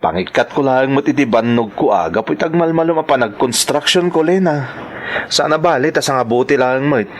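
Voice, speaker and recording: A man's voice: medium pitch, expressive, normal speed, close to an everyday voice. A man acting a stubborn working-class husband in an audio drama, with an Ilocano accent and a whining, cajoling manner. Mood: stubborn, defensive. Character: stubborn working-class husband, a construction laborer fond of soft drinks.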